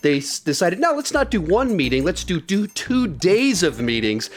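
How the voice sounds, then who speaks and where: A man's voice: high-pitched, very animated, normal speed. A man hosting a podcast in a casual, conversational style. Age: adult with young child. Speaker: podcast host.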